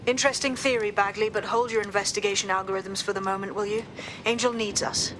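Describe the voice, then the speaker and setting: A woman's voice: medium pitch, steady tone, fast talker, background noise, natural voice. A woman playing a tough, cocky hacker operative in a video game, speaking bluntly and sardonically. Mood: determined.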